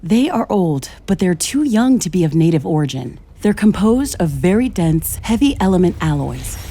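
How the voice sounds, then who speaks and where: An actress playing a curious, determined scientist-commando in a video game, speaking in an earnest, articulate way. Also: female voice, lowish voice, big pitch swings, normal speed, mellow, slight background noise. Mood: analytical. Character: curious, determined female scientist-commando exploring an alien world. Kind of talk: scripted video game dialogue.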